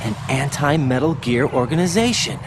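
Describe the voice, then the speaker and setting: An actor playing a young special-forces agent in a video game, earnest and questioning. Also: male voice, mid-range voice, expressive, normal speed, background noise, lightly acted. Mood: earnest, searching.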